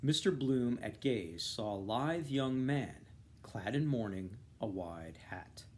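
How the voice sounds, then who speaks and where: A man narrates a novel in an expressive literary reading. His voice sits at a medium pitch and moves with very lively, varied intonation. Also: slow. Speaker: reader of a novel, volunteer.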